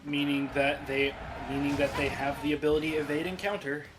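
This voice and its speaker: A man's voice: a little high, level tone, a little fast, background noise. Rambling male game commentator. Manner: rambling, full of restarts and fillers. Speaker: let's play commentator. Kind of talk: casual gameplay commentary. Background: game music.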